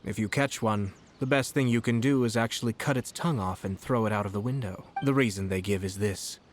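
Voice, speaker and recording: An actor playing a composed, shrewd young nobleman in a video game, speaking eloquently with measured, subtly mocking courtesy. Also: lowish voice, a little hiss or hum, lightly acted. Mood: sly amusement. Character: composed, shrewd young noble lord, politely cutting commissioner.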